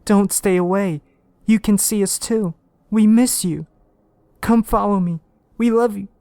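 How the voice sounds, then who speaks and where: A man voicing the ghostly wife and child of a grieving detective, in a high, pleading, hypnotic way. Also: unhurried, creaky, a little mellow, no echo. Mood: pleading, eerie.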